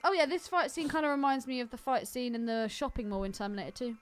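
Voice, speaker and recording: A woman's voice: high-pitched, fairly even intonation, quick pace, clean tone. A woman co-hosting a podcast in casual banter, teasing and playfully cutting in. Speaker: podcast co-host.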